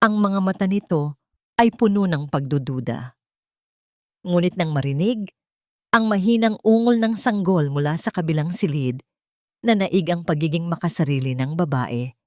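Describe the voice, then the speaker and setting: A woman's voice: on the low side, no room sound, studio quality. A woman narrator reading a scripted story in a dramatic style.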